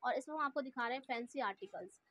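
A woman, speaking high and fast as she hosts a shop tour. She keeps praising every item with eager enthusiasm. She is recorded on a handheld phone inside a clothing shop. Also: a bit bright. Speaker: shopping vlog host. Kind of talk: casual shop tour presenting.

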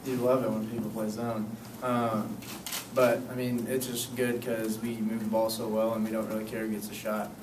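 A man's voice: on the low side, moderate pace, hardly any pauses. Young man answering press questions, echoey room. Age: young adult. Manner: relaxed, filler-heavy answers with many "um" and "you know". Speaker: college basketball player. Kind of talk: postgame press conference answers. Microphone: table mic, picked up from a distance. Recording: echoey room, distant mic, noisy.

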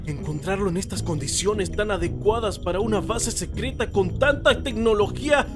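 A man voices a high-pitched, talkative cartoon villain, excitable and a little cowardly. His wordy musings play over prominent music. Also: normal speed, lightly acted. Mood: fascinated. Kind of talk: animated fan film voice acting. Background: loud music.

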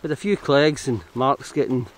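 A Scottish man talks to his camera while walking hill paths outdoors. He rambles in a casual, chatty way and corrects himself mid-sentence. His pitch sits at an ordinary middle level. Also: normal speed. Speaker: hiking vlogger.

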